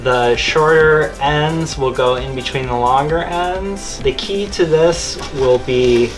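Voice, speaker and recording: A man's voice: on the high side, slow pace, music in the background. A man giving a casual, easygoing hands-on tutorial as the host of a DIY build video. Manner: informal, easygoing.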